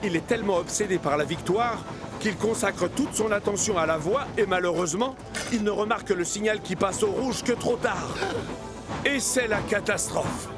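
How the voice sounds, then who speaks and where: A man narrating a French children's cartoon as an expressive storyteller. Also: high voice, moderate pace, over music, lightly acted.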